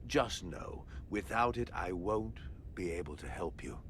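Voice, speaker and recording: An actor playing an ancient, proud alien guardian in a video game, speaking in a formal, sardonic and disdainful way. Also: male voice, low-pitched, expressive, measured pace, fairly bright tone, a little hiss or hum. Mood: stiff, proud.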